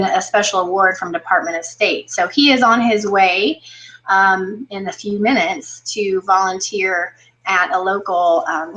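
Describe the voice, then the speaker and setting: Bright-voiced woman interviewer. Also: medium pitch, poor audio. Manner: encouraging, praising, many fillers. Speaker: interviewer and exchange coordinator.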